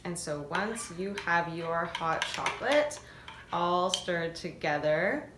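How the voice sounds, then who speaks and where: A woman with a slightly low voice leads a guided prayer as a practical demonstration. She speaks very slowly, in gentle, measured instructions with reflective pauses. The room is echoey around her voice. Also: lively intonation. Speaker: worship leader. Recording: roomy echo, noise, kitchen clinking.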